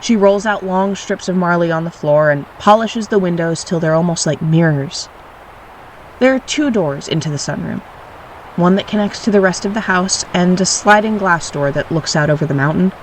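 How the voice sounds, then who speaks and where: A woman playing a wry, reflective storyteller, narrating an audio drama in a measured way. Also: lowish voice, slight background noise, natural voice, poor audio. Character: wry, reflective storyteller recalling childhood mischief.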